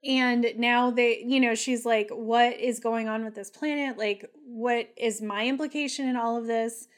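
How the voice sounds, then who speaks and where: A woman with a fairly high voice talks through a TV episode as a podcast co-host. She is opinionated and emphatic, and swears freely in a casual, chatty way. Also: steady tone, unhurried. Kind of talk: casual TV episode recap and commentary chat.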